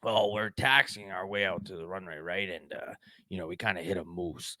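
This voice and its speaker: A man playing a gruff, demanding hockey coach in a one-man film reenactment, commanding and repetitive as he drives his players. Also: deep voice, normal speed, sharp tone, dry recording. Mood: quiet, reassuring.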